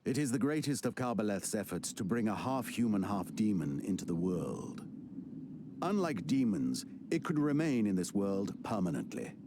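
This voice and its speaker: An actor playing an older, weary male wizard mentor in a video game cutscene, speaking in a measured, solemn way with a dry teasing edge. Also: lowish voice, sing-song, background noise. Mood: grave. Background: game score music.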